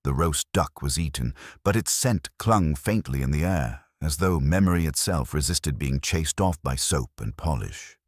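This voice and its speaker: A man narrates a detective story in an extremely low voice with a husky edge. His pitch moves in an animated way, and the recording is dry and close, as in an audiobook. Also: moderate pace, few pauses, dry recording.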